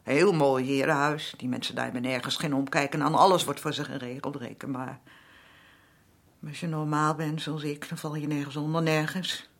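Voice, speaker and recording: An actress playing a weary, aggrieved working-class wife in a radio drama, middle-aged, with a colloquial, complaining way of talking. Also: female voice, low-pitched, steady tone, moderate pace. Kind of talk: naturalistic radio drama acting.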